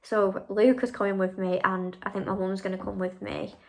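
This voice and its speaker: A woman's voice: medium pitch, little pitch movement, moderate pace, vocal fry, darkish tone. A young woman chatting casually in a vlog, answering viewer questions with lots of fillers like "um" and "like". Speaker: vlogger.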